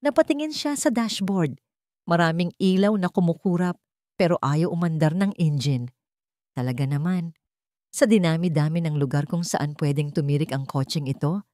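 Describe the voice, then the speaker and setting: Low-voiced woman narrating a love story. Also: moderate pace, dark tone, no room sound, studio quality.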